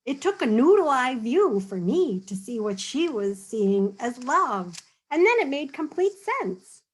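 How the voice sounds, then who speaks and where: Woman reading to children, higher voice, unhurried and patient, explains step by step. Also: big pitch swings, slightly rough, poor audio. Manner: patient, explains slowly, often checks in with "okay?". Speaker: storybook reader and teacher. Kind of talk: reading a picture book aloud to children, then drawing tips.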